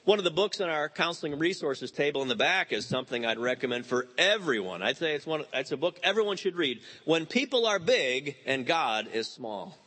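A man in his fifties preaching a sermon, animated and telling stories with quoted voices. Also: a little high, brisk, few pauses. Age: 50s. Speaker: pastor.

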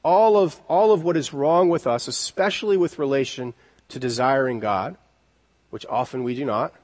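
A man preaching an expository sermon in an earnest, deliberate way, repeating key words for emphasis. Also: mid-range voice, darkish tone. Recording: long reverberant hall echo.

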